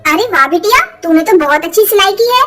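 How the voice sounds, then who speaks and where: A woman's voice: very high voice, expressive, fast. A woman voicing a caring but firm mother bird who advises in a children's cartoon. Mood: gently admonishing. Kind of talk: children's cartoon story dialogue.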